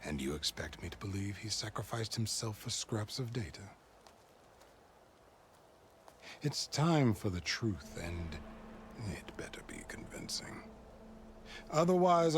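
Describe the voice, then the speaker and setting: An actor playing a cold, imperious military commander in a video game, measured and contemptuous, over game music. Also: male voice, medium pitch, sing-song, slight background noise. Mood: menacing. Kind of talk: video game cutscene acting.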